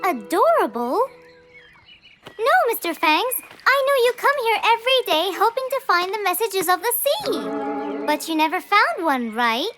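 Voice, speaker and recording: Eager cartoon little girl, very high bright voice, naive, insistent, animated, music behind. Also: a little slow, full character voice. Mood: indignant, eager. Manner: pleading, insistent, innocent. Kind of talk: children's cartoon dubbing.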